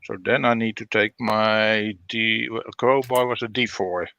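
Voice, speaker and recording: Bright-voiced, unhurried man playing a tabletop game. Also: on the low side, fairly even intonation, vocal fry, no room sound, lo-fi sound. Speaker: player in a tabletop roleplaying game. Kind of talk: casual chat during game play.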